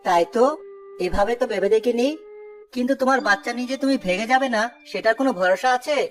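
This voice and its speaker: A woman's voice: on the high side, fast, faint music behind, close to an everyday voice. A woman playing a greedy, irritable village man in a children's cartoon. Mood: won over.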